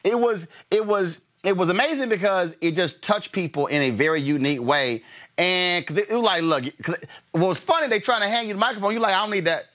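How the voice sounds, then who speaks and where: A man hosting an interview, talking in a halting way with many fillers and repeated words. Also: high-pitched, lively intonation, a bit bright. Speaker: interview host. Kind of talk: interview, casual chat.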